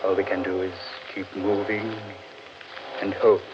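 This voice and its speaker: A stubborn, rational traveler lost in a frightening forest, speaking slowly and earnestly. The style is theatrical 1940s radio horror, heard through a muffled, noisy old recording. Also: male voice, low voice, level tone. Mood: firm, uneasy.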